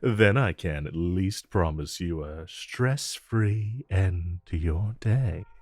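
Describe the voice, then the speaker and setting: A man playing a suave, flirtatious supervillain in a romantic audio roleplay, with a smooth, teasing drawl and deliberate pauses. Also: sits low, dry recording, studio quality, faint music behind. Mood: flirtatious, relaxed. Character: suave, flirtatious male supervillain courting his tailor.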